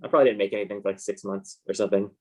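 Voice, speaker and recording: A man's voice: fairly low pitch, racing. A man chatting casually as an interview guest, in a rambling, understated, laid-back way. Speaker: interview guest, comic book artist. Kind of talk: casual chat.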